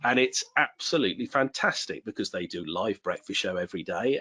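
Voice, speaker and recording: A man presenting and chatting casually about local radio, relaxed and friendly. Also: fairly low pitch, no room sound. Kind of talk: casual talk to an online audience.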